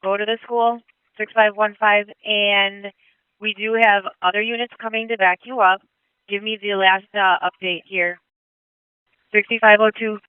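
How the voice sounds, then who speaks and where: A male police dispatcher speaking over radio traffic in a calm, clipped way, repeating back information. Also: high voice, a little slow, clear, resonant tone, no room sound, narrow, tinny audio. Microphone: dispatch console radio.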